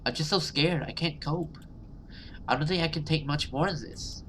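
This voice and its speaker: A man's voice: fairly high pitch, normal speed, fairly bright tone, a little hiss or hum, voice pushed up. A man voicing an anxious, vulnerable young woman from a horror game who leans on her friend. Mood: scared.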